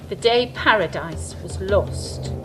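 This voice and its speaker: A woman's voice: mid-range voice, takes it slowly, over loud music, lightly acted, low-quality recording. A woman playing a flippant, restless, ever-hungry angel in a comic audio drama, wry and petulant. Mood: resolved.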